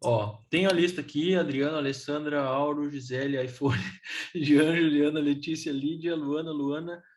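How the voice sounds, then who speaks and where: A man's voice: a little high, fairly even intonation, normal speed. A man with a Brazilian Portuguese accent, casually presenting a live event in an informal, enthusiastic way. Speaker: event host.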